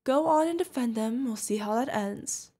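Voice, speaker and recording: A woman's voice: fairly high pitch, expressive, moderate pace, warm tone. A woman playing a protective older witch mentor, fond, teasing and stern, in an ASMR fantasy roleplay. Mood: playful, wry. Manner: affectionate teasing, mock-exasperated. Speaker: voice actor.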